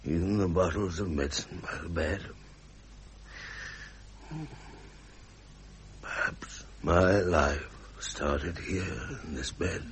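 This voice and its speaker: Gruff ageing English king, imperious and blustering, slow delivery, vintage radio drama with clear noise. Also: low-pitched. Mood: hushed, brooding. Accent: upper-class English. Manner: blustering, imperious, muttering in clipped fragments. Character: gruff, impatient ageing royal heir turned king. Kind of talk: 1970s British radio drama acting. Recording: noisy vintage radio recording.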